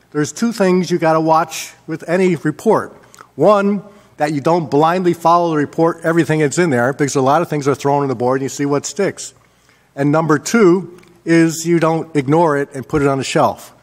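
A man in his sixties with an American accent gives measured mayoral remarks at a public meeting, pausing often as he works from notes. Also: on the high side. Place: indoor council chamber. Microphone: gooseneck desk microphone.

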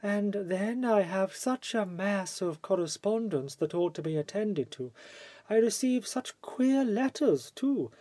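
A man's voice: sits high, lively intonation, measured pace, mellow, no echo, pitched above the performer's own voice. A man voicing a vivacious, gushing society actress in a theatrical manner, reading from an audiobook. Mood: chatty, complaining.

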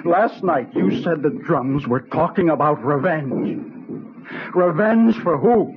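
A devoted, desperate husband in an old-time radio drama. His voice sits fairly high and is earnest and pleading. The recording is dull, with faint noise behind it. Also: animated, takes it slowly. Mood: urgent, agitated.

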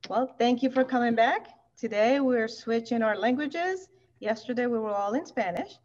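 A woman's voice: a little high, moderate pace, darkish tone. Upbeat woman host, 30s, eager intros, slightly muffled call audio. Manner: bubbly, enthusiastic, repeats words for emphasis. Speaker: event host. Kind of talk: casual welcome introduction. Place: indoors, in front of a projection screen. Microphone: video call.

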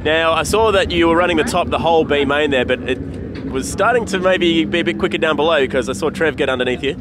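A young man reports from the pits on a handheld mic. He speaks quickly in a fairly high voice, with clear engine noise around him. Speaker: pit reporter interviewing drivers. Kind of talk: live trackside interview. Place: outdoor speedway pit area at night. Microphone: handheld microphone.

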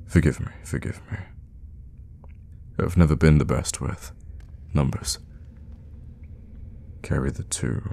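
A man playing a mysterious, outwardly friendly vampire hermit in an ASMR audio roleplay, talking in a halting, softly teasing way with quiet menace. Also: very deep voice, creaky, slight background noise, heavily acted. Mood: musing, flustered. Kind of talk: ASMR audio roleplay, intimate in-character monologue.

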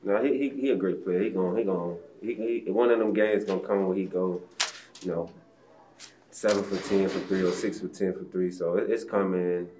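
A man's voice: low-pitched, steady tone, moderate pace, noisy background. A young man, a basketball player, answering post-game questions in a laid-back, casual way with many fillers. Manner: laid-back, casual, lots of "you know". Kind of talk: post-game press conference. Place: press room backdrop, indoors.

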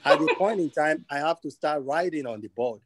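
A Nigerian man with a Nigerian accent, a webinar panelist sharing friendly, lively advice over a video call. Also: fairly high pitch, brisk, heavy background noise.

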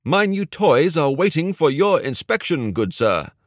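An excitable young-sounding gadget genius, acted by a male narrator for an audiobook. He is showy, playful and cocky, pitched well up, and speaks with eager, theatrical flair. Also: normal speed, dry recording, studio quality, lightly acted. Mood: mock indignant, grand.

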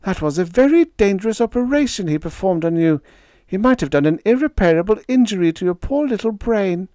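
A man voicing a shrill, excitable young woman who squeals and wails in a very high voice, in a dry close recording. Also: fairly quick, no room sound. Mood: alarmed.

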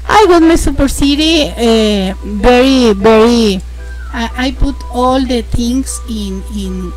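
A young woman with a Mexican accent, a host narrating a casual game tutorial, halting and repeating words as she explains. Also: high-pitched, a little mellow, rough recording. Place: home, indoors.

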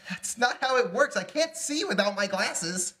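A man's voice: high-pitched, lively intonation, a little slow, pushed and bright, voice pushed up, exaggerated voice. A young man reading the part of an annoyed, sarcastic, put-upon brother in a comedy script. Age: young adult. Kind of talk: comedy table read.

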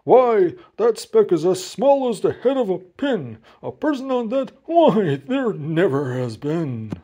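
A man's voice: high-pitched, very animated, takes it slowly, warm tone, husky, voice pushed up, heavily acted. A man voicing a sour, haughty kangaroo in a storybook, scoffing and dismissive. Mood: scornful. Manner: scornful, dismissive.

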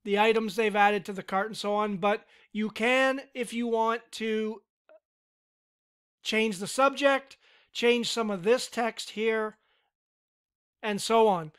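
A man giving a relaxed, step-by-step screen-recorded software tutorial with casual fillers. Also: sits high, slow pace, pauses often, smooth. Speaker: tutorial instructor.

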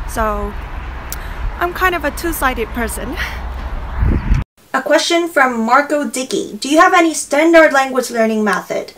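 A woman's voice: sits high, background noise. A young woman with a Korean accent, her native language, answering viewer questions in a casual vlog from a small room. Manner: reads questions, then answers cheerfully. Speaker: language vlogger. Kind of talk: casual Q&A to camera. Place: small bright room indoors.

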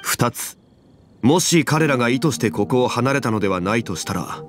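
Man playing a calm, dignified, analytical gentleman. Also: medium pitch, soft background music, close to an everyday voice. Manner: measured, formal, deliberate reasoning. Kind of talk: Japanese anime game voice acting.

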